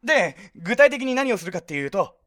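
A woman playing an eager, eccentric high-school boy with a theatrical, glib manner in an anime-style comedy voice drama. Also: very animated, fairly quick, a bit bright. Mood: earnest, persuasive. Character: eager, eccentric teenage schoolboy club founder.

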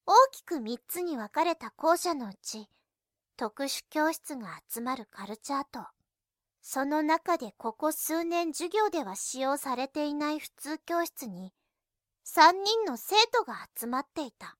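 A woman playing an earnest, reserved high school girl who narrates her own story in a youth voice drama. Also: expressive, unhurried, no echo, studio quality. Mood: calm, descriptive. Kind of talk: scripted narration in an anime-style voice drama.

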